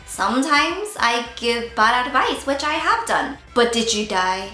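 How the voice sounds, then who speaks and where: A woman's voice: on the high side, sing-song, measured pace, sharp tone, echoey room, soft background music. A woman in her thirties from Northern Ireland with a Northern Irish accent, chatting candidly to camera as a beauty vlogger, joking and tripping over words. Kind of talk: casual chat to camera.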